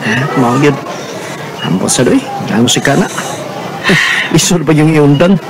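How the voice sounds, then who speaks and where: Easygoing office pal, Filipino accent, swinging intonation, radio drama, clear background noise. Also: male voice, fairly high pitch, animated, measured pace, crowd noise. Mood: casual, concerned. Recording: noisy, with ambience behind.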